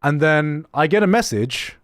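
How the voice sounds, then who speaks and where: A young man with a mixed, slightly British-tinged accent talks to his live viewers. His voice sits a little high and swings up and down a lot. He laughs often, trips over his words and restarts them, all in a dry, close recording. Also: no echo. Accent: mixed, slightly British-tinged English. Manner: animated, laughing often, many quick restarts. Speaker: streamer. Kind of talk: casual livestream chat, reading out viewer messages.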